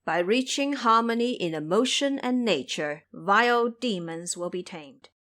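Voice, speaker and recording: A woman's voice: medium pitch, expressive, measured pace, fairly bright tone. A woman narrator delivering dramatic scripted storytelling.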